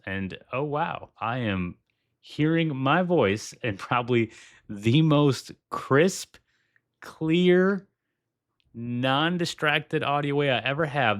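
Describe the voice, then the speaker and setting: A man's voice: medium pitch, a bit bright. A man hosting a tech video, walking through a product demo with enthusiasm and repeating his points for emphasis. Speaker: tech reviewer.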